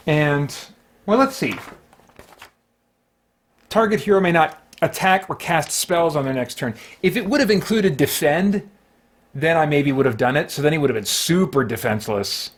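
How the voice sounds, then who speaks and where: A man hosting a solo tabletop game, casually narrating his moves and talking himself through rules and choices. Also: on the high side. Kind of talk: casual play-by-play, thinking aloud.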